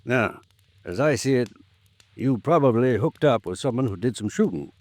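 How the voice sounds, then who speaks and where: An actor playing a cautious, plain-spoken American lawyer, deliberate and folksy, in 1950s radio drama style. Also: male voice, mid-range voice, expressive, darkish tone, no echo, natural voice. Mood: cautious, probing. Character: cautious, plain-spoken small-town male lawyer, measured.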